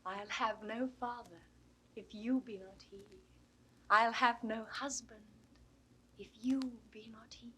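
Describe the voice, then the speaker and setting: A devoted young noblewoman speaks verse slowly and with care, tender yet resolute. It is classical Shakespearean drama, on an older recording with faint noise. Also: on the high side, fairly even intonation, a bit bright, poor audio. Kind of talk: classical Shakespearean stage acting, 1960s audio drama.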